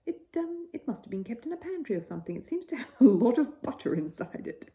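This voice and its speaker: A woman's voice: a little high, very animated, fast talker, dark tone, voice pushed up. A woman voicing a polite, puzzled male shopkeeper in a children's storybook, with a hesitant, wondering manner. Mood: intrigued.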